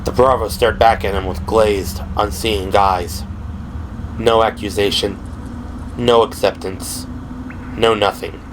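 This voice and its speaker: A man's voice: on the low side, fairly even intonation, slow pace, long pauses. A man reading dramatic scripted narration for a horror audio drama. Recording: clearly noisy background. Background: ambient sound effects.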